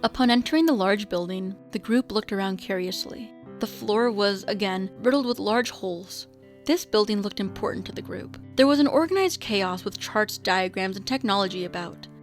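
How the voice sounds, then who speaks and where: A woman narrates an audio drama in a medium-pitched voice. Her delivery is scripted storytelling, with faint music playing underneath. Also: moderate pace.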